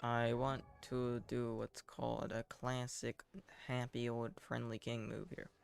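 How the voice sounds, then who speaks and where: A man giving casual live game commentary in a deadpan, self-deprecating way, muttering asides to himself. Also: medium pitch, measured pace, gravelly. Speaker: game streamer.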